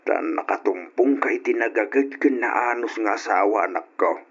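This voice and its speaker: A man playing a kindly, pious older father who dotes on his daughter, spoken gently and emphatically as in old Ilocano radio drama. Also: fairly low pitch, normal speed, breathy edge. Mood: approving. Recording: dull, lo-fi old radio recording.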